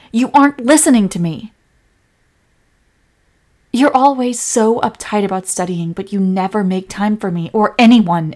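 A woman acting a hurt, loving girlfriend in a romantic audio roleplay, speaking emotionally and directly to her partner. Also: fairly high pitch. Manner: emotional, swinging from sharp reproach to tender whispers. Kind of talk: scripted romantic audio roleplay, addressing the listener.

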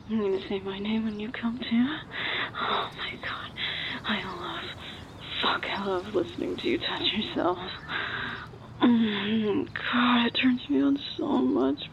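A woman playing an amorous, coaxing adult lover in an intimate audio roleplay, breathy and often whispering. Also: medium pitch. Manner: breathy, often whispering, sighing, drawn-out delivery. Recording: muffled, very noisy recording.

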